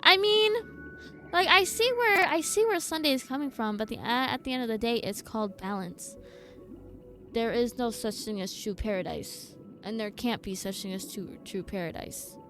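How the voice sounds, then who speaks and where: A woman's voice: a little high, moderate pace, a bit bright, faint music behind. A woman giving casual, chatty live commentary as she streams a game and talks to her viewers. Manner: chatty, reacts to game and chat.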